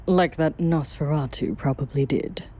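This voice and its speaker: A woman's voice: sits low, a little slow, mellow, breathy edge. A woman playing a worldly, guarded vampire sire in an audio drama, explaining things in dramatic phrasing. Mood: wry, practical. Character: self-exiled female vampire sire, worldly, guarded, brooding.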